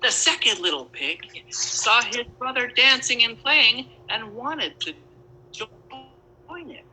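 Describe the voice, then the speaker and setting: A woman's voice: a little high, animated, slow, pushed and bright, a little hiss or hum, rough recording. A woman narrating a storybook tale, adding wry asides as she goes.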